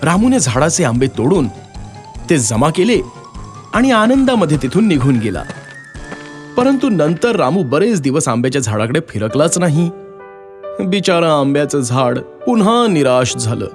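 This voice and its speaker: A man's voice: a little high, big pitch swings, normal speed. A man narrating a scripted children's story as a storyteller. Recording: music behind the voice.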